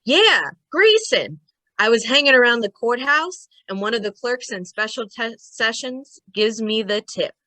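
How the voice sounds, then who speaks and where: Lady doing a nervy streetwise mob sidekick, slangy, sharp bright voice, old radio style, dry sound. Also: fairly high pitch, lively intonation, measured pace, dry recording, low-quality recording. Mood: urgent. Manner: slangy, ungrammatical street talk. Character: anxious, loyal gangster sidekick, streetwise. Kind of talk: old time radio gangster acting.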